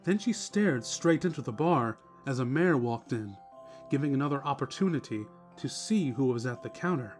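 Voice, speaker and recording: A man's voice: mid-range voice, lively intonation, moderate pace. A man reading scripted story narration as the narrator of a dramatic reading. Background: music.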